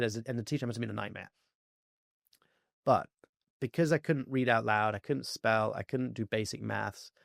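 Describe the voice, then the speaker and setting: A man in his forties from France talks fast and tells his stories with lots of fillers. His voice is gravelly and he sounds close to a dry mic. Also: fairly low pitch, no room sound. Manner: fast, animated storytelling with many fillers. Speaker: podcast guest. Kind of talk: casual interview chat.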